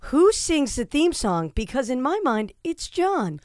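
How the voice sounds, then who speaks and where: A woman's voice: high-pitched, big pitch swings, unhurried, a little mellow, dry recording, studio quality. A woman co-hosting a podcast, chatty and teasing, reading out listener questions. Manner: chatty, teasing, laughs a lot. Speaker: podcast co-host. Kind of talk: casual chat.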